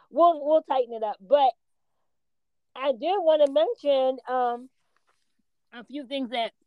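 A woman's voice: a little high, no echo, low-quality recording. A woman co-hosting a casual podcast, chatting loosely with lots of fillers and restarts. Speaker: podcast co-host. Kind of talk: casual chat.

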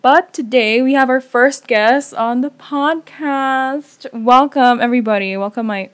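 A woman's voice: high-pitched, measured pace, smooth. A young adult woman, hosting a casual podcast and chatting with a laid-back, laughing manner. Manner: chatty, laughs often, trails off softly. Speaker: podcast host. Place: away from her usual setup, somewhat noisy.

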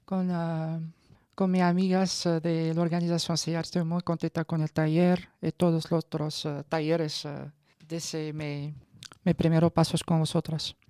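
Low, clear-voiced Moroccan woman, halting speech. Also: flat delivery, warm tone, dry recording, studio quality. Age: 30s. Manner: halting, searching for words in non-native Spanish. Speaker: radio guest giving a personal testimony. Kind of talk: personal testimony.

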